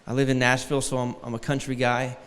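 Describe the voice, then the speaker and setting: A man's voice: medium pitch, completely flat delivery, fast talker, a bit bright, clean tone, in a large hall. A man in his forties from Detroit, a guest preacher giving a sermon with a light, thin voice and a joking, self-deprecating manner. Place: large church hall.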